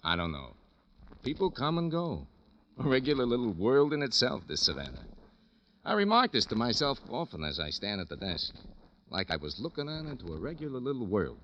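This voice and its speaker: An actor playing a jaded, rambling hotel clerk who philosophizes self-importantly in an old radio drama. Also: male voice, medium pitch, wildly swooping pitch, brisk, a bit bright. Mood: defensive, philosophizing. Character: chatty, jaded male clerk of a seedy hotel. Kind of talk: 1950s radio drama character acting. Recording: dull, noisy vintage radio recording.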